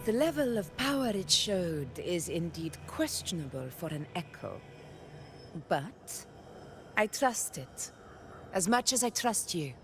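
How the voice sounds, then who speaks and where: An actress playing a stern, proud warrior leader in a video game, formal, measured and resolute. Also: female voice, on the low side, very animated, a little hiss or hum, heavily acted. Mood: solemn, trusting. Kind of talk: dramatic video game acting.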